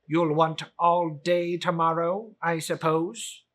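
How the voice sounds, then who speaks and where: A man's voice: a little high, steady tone, slow pace, a bit bright. A man playing a cold, miserly old businessman in a storybook reading, curt and scornful.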